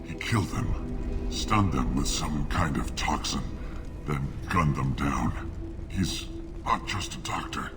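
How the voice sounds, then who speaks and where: Sick, dying male alien for a video game: very low, widely swinging pitch, grave and suspicious, music underneath. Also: lively intonation, full character voice. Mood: bitter, grave. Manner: formal, measured, grave phrasing. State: coughing, sick and weak. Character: dying plague-stricken male alien, bitter, suspicious of humans. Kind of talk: video game dialogue acting.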